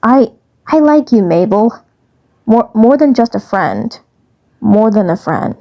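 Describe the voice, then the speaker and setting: A woman's voice: fairly high pitch, lively intonation, takes it slowly, dark tone. A woman voicing a small male cartoon commander, teasing and smitten, who repeats his phrases hesitantly and earnestly. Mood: tender, sincere.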